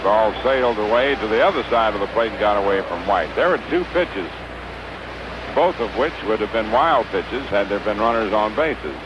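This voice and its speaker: American baseball announcer, noisy vintage radio. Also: male voice, lively intonation. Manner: measured, clipped phrases with pauses between pitches. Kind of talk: 1950s radio sports commentary. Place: ballpark broadcast booth. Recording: noisy, dull vintage 1950s radio broadcast.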